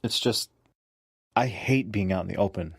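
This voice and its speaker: A man's voice: fairly low pitch, moderate pace, gravelly, a bit bright, breathy edge, no room sound, clean studio sound, heavily acted. A man playing an injured, reluctant but protective road companion in audio drama dialogue. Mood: reluctant, worried.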